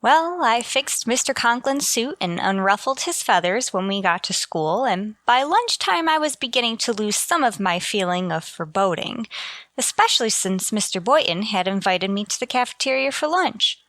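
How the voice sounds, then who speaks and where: A woman playing a wry, lovestruck high school teacher in an old-time radio comedy, with a dry, sly manner. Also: very animated, moderate pace, a bit bright, dry recording. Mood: wry, coaxing. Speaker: lead actor and narrator. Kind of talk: old-time radio comedy acting, first-person narration.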